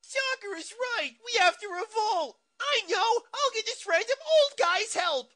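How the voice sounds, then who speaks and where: A woman voicing a loud, silly anime parody character in an exaggerated, shouty manner. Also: very high-pitched, animated, unhurried, heavily acted. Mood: urgent. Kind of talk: comedic anime parody voice acting. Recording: music behind the voice.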